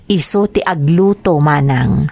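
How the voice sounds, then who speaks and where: A woman's voice: sits low, a little slow, gravelly, mellow, dry recording, full character voice. An actress playing a dutiful, apologetic young wife hurt by her in-laws, in a radio-style drama. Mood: troubled, reflective. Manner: apologetic, deferential.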